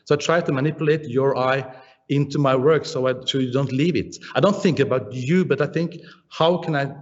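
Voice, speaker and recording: A man with a Danish accent, a painter answering interview questions in English, pausing often and searching for his words. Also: medium pitch. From Denmark. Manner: halting, searching for words, frequent "uh" and restarts. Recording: dull, roomy sound with long reverb.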